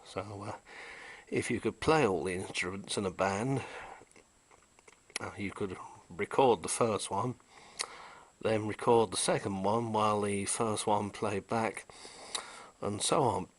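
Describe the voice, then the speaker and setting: A man giving an unhurried, technical show-and-tell of an old tape recorder he repaired, pausing often with "uh" as he points out its features. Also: on the low side. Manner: unhurried, technical, with frequent "uh" pauses. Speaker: vintage electronics restorer. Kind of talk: casual show-and-tell demonstration.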